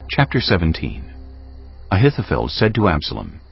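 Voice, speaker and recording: A man's voice: sits low, very animated, normal speed, slightly rough, faint music behind. A man reading scripted scripture as the narrator of an audio Bible. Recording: dull lo-fi audio with noise.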